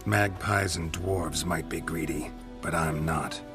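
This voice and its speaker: A man playing a gruff, stoic monster hunter in a video game, terse and deadpan, with a low gravelly voice. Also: slow, heavily acted. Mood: terse, focused. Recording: music behind the voice.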